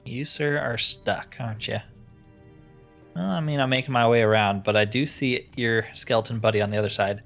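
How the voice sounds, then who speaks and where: Male let's-player, medium pitch, thinks out loud casually with wry asides, soft music underneath. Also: normal speed, gravelly. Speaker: gamer narrating his playthrough. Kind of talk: casual let's-play commentary.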